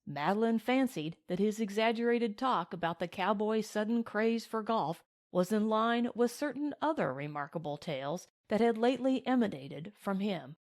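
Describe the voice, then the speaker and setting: A woman narrates a novel in a middle-pitched voice. Her delivery is measured and careful, a scripted read-aloud in audiobook style. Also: animated.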